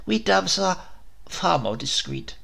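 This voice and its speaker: A pompous talking dove from a storybook, fussy and quick to take offence, with a man voicing him. His voice sits a little high and swings lively through each phrase, with a bright, gravelly edge. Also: exaggerated voice. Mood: haughty. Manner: fussy, haughty and easily offended. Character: pompous prim male dove, a transformed prince.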